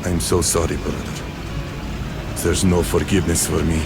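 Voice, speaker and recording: An actor playing a guilt-ridden Russian leader in a video game, brooding and breaking into anguished outbursts. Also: male voice, sits low, level tone, moderate pace, vocal fry, heavy background noise. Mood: remorseful, dazed. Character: guilt-ridden male Russian leader confessing betrayal, tormented. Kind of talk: video game dramatic acting. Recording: loud music over the voice.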